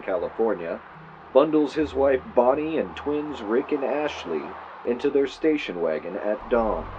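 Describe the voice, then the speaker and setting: A man's voice: lowish voice, close to an everyday voice. An actor playing a male true-crime podcaster, telling a spooky story theatrically with wry asides into a clip-on mic. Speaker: podcast host character. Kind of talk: audio drama, true-crime narration recorded on tape. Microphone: mic clipped to shirt. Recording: muffled noisy tape, clip-on mic in car.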